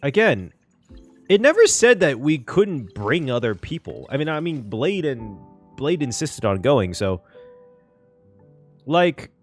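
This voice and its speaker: A man giving casual live reaction commentary on a game as a streamer, animated and expressive. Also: medium pitch, takes it slowly, soft background music.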